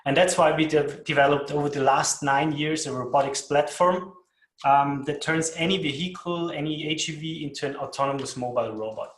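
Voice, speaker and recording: A man from Austria with an Austrian accent, presenting his company as a founder in a webinar, steady with "um" fillers. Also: fairly high pitch, fairly quick. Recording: roomy echo.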